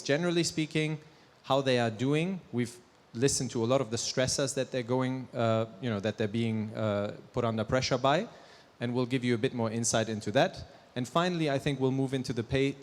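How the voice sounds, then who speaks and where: A man in his thirties giving a conference presentation on stage with a handheld mic, talking conversationally with fillers and restarts. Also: medium pitch. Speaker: company presenter. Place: large conference hall on stage. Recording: long hall reverb.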